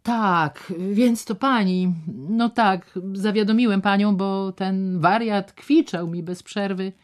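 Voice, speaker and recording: Woman doing a booming pompous old-school doctor. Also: slow pace, natural voice. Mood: blustering. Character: blustering loud middle-aged male doctor.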